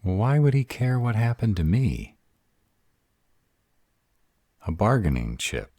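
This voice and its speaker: A man's voice: lowish voice, sing-song, moderate pace, dark tone, no room sound. A man playing a sarcastic, insecure young vampire in an audio roleplay, with a drawling manner. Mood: incredulous, self-doubting.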